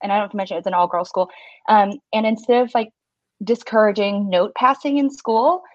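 A woman's voice: mid-range voice, a little fast, dry recording, lo-fi sound. A woman in her thirties from Singapore, a stationery shop owner and guest, talking in a friendly way with many fillers. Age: 30s. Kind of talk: casual interview chat.

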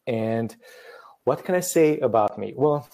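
Man, 30s, from Romania, mellow dark tone, hesitant, self-deprecating. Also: mid-range voice, animated, unhurried, poor audio. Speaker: photographer guest. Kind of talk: casual live-stream conversation.

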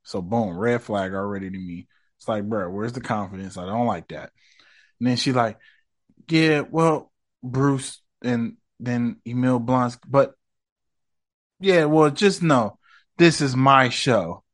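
A man hosting a casual podcast review, talking in a loose, slangy, profane and rambling way, his voice a bit rough from smoking. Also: medium pitch, measured pace. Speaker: podcast host.

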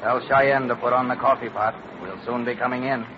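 A man's voice: mid-range voice, fairly even intonation, a little fast, close to an everyday voice. An actor playing a breezy, confident male airline pilot, jaunty and joking in 1940s radio style. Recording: muffled, noisy old radio recording.

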